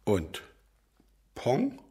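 An actor playing a grumpy, hesitant older gentleman with a husky voice in a children's radio play. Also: very slow. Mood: hesitant, muddled.

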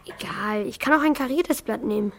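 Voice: medium pitch, fairly quick, gravelly, fairly bright tone. A performer playing a curious, slightly shy schoolboy in a children's radio play, speaking hesitantly and colloquially. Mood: casual, hesitant. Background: music.

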